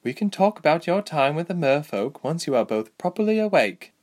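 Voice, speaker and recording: A man's voice: a little high, big pitch swings, brisk, a little mellow, pitched above the performer's own voice. A man voicing an easygoing female elf fighter with a dryly teasing manner, in a fantasy storybook. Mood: friendly, teasing.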